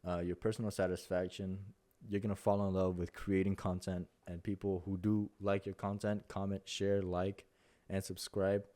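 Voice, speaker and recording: Young mexican guy, spanish accent, low deep voice, kinda monotone, rambles a lot with lots of uh and you know. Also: normal speed. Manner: rambling, many fillers and restarts. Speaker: content creator. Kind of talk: casual talking-head advice.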